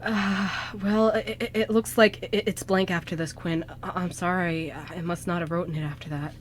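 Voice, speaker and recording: A woman's voice: on the low side, fairly even intonation, normal speed, natural voice. A woman acts out an anxious young guy who travels through time. He stammers and trips over himself, flustered and hesitant, in a homemade radio play with faint noise on the recording. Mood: nervous, flustered. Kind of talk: amateur radio drama acting. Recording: noisy amateur radio show recording.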